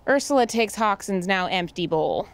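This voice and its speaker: A woman's voice: mid-range voice, expressive, moderate pace. A woman reading scripted narration and stage directions for an audio drama.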